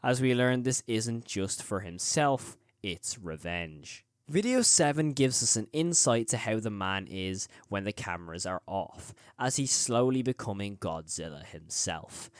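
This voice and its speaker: A man's voice: on the low side, expressive, unhurried, smooth, no room sound, studio quality. A man presenting a scripted video essay as the host of a horror review channel.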